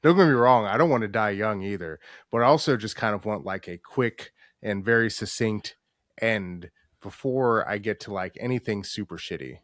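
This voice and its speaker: A man's voice: fairly low pitch, moderate pace, fairly bright tone, no echo, clean studio sound. Wry, weary man in his thirties. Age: 30s. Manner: wry and weary, swears casually. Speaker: podcast co-host. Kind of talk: casual chat.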